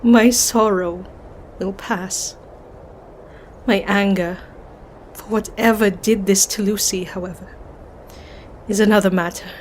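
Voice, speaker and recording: A woman's voice: mid-range voice, steady tone, slow, pauses often, a little hiss or hum, lightly acted, poor audio. An actress playing a resolute, grieving Victorian woman in an audio drama, speaking formally and with determination. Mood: grieving resolve.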